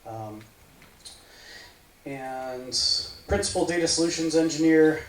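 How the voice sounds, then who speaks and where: A man's voice: a little high, slow, a little mellow. A man in his forties from the United States giving a casual meetup tech talk, rambling and full of fillers like "right, like". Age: 40s. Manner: rambling, filler-heavy, says "right, like" often. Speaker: meetup speaker. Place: meeting room. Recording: echoey room from far off, faint noise.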